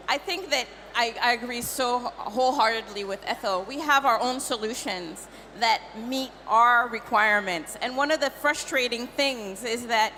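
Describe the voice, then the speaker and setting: A woman's voice: on the high side, pushed and bright. A woman from Cameroon speaking as a tech advisor on a conference panel, emphatic and prone to repeating words. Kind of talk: panel discussion. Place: conference stage. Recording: conference hall reverb, faint noise.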